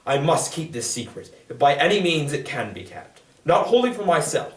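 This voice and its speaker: A man's voice: a little high, sing-song, normal speed. A man voicing a proud, anguished noblewoman in a formal, dramatic, pleading manner for a literary reading. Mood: bleak remorse. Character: proud, anguished noblewoman confessing to her secret daughter. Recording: echoey room, distant microphone.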